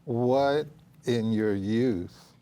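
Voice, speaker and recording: A middle-aged man co-hosting a talk show, asking questions conversationally in halting phrases. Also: mid-range voice, dark tone. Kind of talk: interview, conversational. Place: TV studio stage.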